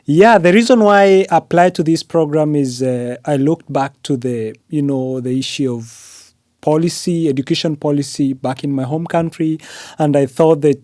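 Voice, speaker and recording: Kenyan man, earnest, dark voice. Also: a little high, normal speed, no room sound, studio quality. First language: Swahili. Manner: earnest, many "uh" and "you know" fillers. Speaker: podcast guest, graduate student. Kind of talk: interview conversation.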